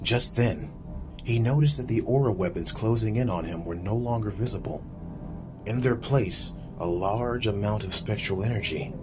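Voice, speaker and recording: A man narrating a fantasy audiobook in a dramatic, measured, literary storytelling style. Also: fairly low pitch. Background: music.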